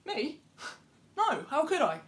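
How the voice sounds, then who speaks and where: A woman's voice: high-pitched, huge pitch swings, slow pace, pitched above the performer's own voice, exaggerated voice. A woman voicing affronted storybook animals who deny blame emphatically. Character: affronted farm animals and birds denying blame.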